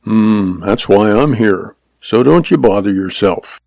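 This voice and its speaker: A man's voice: medium pitch, measured pace, vocal fry, darkish tone, no room sound. A man voicing a hardened, weary Western gunfighter who talks in a dry, level, laconic cowboy way. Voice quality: hard, level voice.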